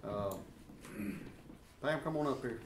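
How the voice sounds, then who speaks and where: A man introducing a guest teacher and praying, halting with fillers. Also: mid-range voice, far from the mic. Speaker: church class leader. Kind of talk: introduction and prayer. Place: church classroom. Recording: roomy echo, from off mic, faint room noise.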